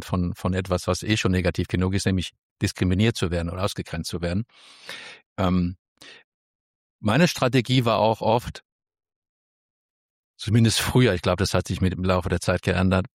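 Guy with spanish roots, low-ish voice, careful slow wording, dry close sound. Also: no room sound. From Spain. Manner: careful, measured, with frequent restarts. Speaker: interview guest. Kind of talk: thoughtful interview answers.

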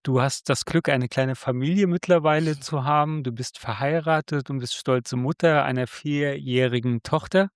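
Mann als Interviewer, trocken aufgenommen. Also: male voice, medium pitch, moderate pace, hardly any pauses, clean tone, no echo, clean studio sound. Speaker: podcast co-host. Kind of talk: interview questions.

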